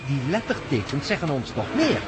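An actor playing an excitable, pedantic male professor in a radio play, lecturing precisely with dramatic pauses. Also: fairly high pitch. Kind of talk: Dutch radio play acting. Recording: noisy radio play, engine drone.

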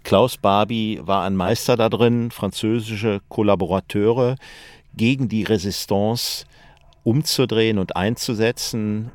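A man's voice: sits low, darkish tone, no echo. A man giving explanatory commentary on history for a documentary.

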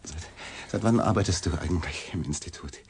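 A man acting a cool, inquisitive reporter in a German radio drama, talking in a casual, low-key, probing way. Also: fairly quick, a little mellow.

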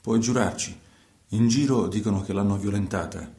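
A man's voice: lowish voice, normal speed, warm tone, natural voice. A man playing a grieving old father in radio theatre, terse, subdued and stubbornly insistent. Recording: roomy, echoing sound.

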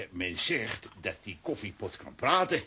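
A man's voice: on the low side, big pitch swings, slow pace, bright, forceful tone, husky, full character voice. A man acting a pushy villain who poses as a doctor, oily and blustering, in a children's radio play. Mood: oily, wheedling.

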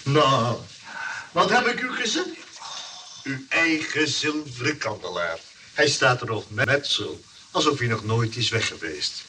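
A sly engineer in a Dutch radio play. His mid-pitched voice swings about in polite, coaxing, mock-innocent persistence, heard off the mic through clear noise. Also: sing-song, unhurried, bright, forceful tone. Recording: distant mic, clearly noisy old recording.

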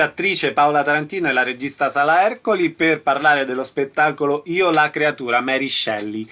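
A man's voice: on the high side, sharp tone, slight background noise. A man presenting and questioning guests as a radio interviewer.

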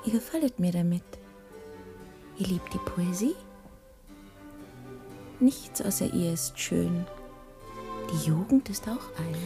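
A woman's voice: lowish voice, lively intonation, slow, long pauses, music in the background. An actress playing a bold, free-spirited, passionate lady of the arts in a literary radio play, theatrical and self-assured. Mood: flirtatious.

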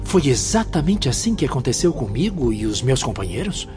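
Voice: medium pitch, big pitch swings, a little fast, close to an everyday voice. Casting: actor for a respectful, inquisitive male newcomer spirit, hugely varied intonation, formal radio play speech over music. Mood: curious, earnest. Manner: questioning, formal. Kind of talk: radio drama acting. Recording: music behind the voice.

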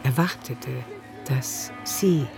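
A narrator tells a literary story in a radio play at a medium pitch. The scripted prose is carried along with music behind it. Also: slow pace.

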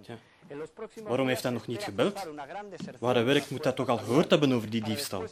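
An actor playing an uneasy Spanish-speaking criminal accomplice in a crime drama. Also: male voice, medium pitch, animated, brisk, a crowd in the background, close to an everyday voice. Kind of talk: Flemish crime drama acting.

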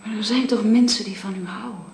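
A woman's voice: medium pitch, quick pace, darkish tone, reverberant, slight background noise, lightly acted. A woman acting in a radio drama as an earnest, deferential young housekeeper, polite and hesitant in manner.

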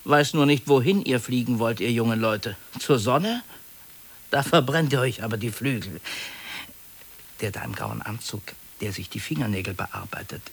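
A fussy middle-aged male office clerk in a 1950s radio play. His voice sits at a middle pitch. He confides hesitantly and anxiously, gently pleading and seeking agreement. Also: animated, moderate pace, slightly rough. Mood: confiding, wistful. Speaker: actor.

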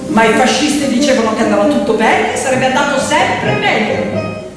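A woman, ironic and expressive, at times almost singing her words. She is heard from a distance in a strongly reverberant hall, with music behind her. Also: sits high, normal speed. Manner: expressive, ironic, dramatic, breaking into sing-song declamation. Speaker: stage storyteller and actress. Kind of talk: theatrical storytelling with music, two-hander. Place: large reverberant performance space, distant mic. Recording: echoey hall from far off, piano music.

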